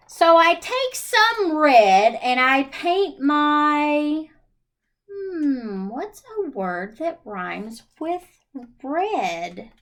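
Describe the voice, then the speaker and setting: Female children's storytime reader, higher-pitched, unhurried, singsong and question-led. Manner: singsong, asks young listeners questions and answers them. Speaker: children's librarian leading storytime. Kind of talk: children's storytime read-aloud and chat.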